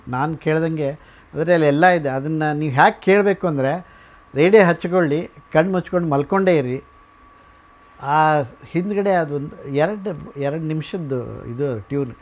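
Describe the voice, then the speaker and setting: A man's voice: fairly high pitch, dull audio. A man giving an informal explanatory tour as a museum owner, mixing English phrases into his speech.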